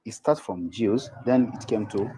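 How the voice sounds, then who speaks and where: A man from Kenya calling into a debate, speaking haltingly, repeating his words and talking over the host. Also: on the low side, dark tone, a little hiss or hum, low-quality recording. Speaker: caller. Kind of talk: religious argument in a call-in debate.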